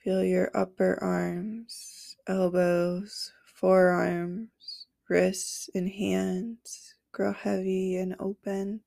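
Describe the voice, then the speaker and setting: A woman hosting a podcast, leading a guided meditation with slow, soothing instructions and long pauses, her nose stuffy from a cold. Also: medium pitch, flat delivery, gravelly, mellow, no room sound, clean studio sound.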